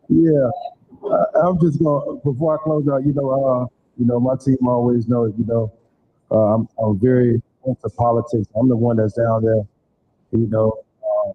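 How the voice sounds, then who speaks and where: A man's voice: mid-range voice, muffled recording, slight background noise. A man giving loose, repetitive closing remarks as organizer of a community event in a church hall.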